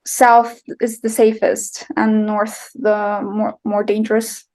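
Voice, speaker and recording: A woman's voice: medium pitch, little pitch movement, slow, a little mellow. A young woman from Colombia with a Colombian accent, answering interview questions in a casual, chatty way full of fillers and restarts. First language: Spanish. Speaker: guest, writer and filmmaker.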